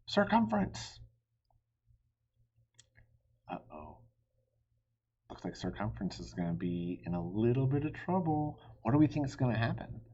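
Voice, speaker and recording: A man reading a children's story aloud expressively, with animated delivery and chatty asides to his listeners. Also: mid-range voice, muffled recording. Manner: animated, pausing to chat to listeners. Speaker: storybook reader.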